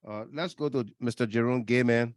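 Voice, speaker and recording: A man's voice: medium pitch, a little fast, no echo, clean studio sound. A man hosting a casual live call-in talk show, chatting and greeting listeners.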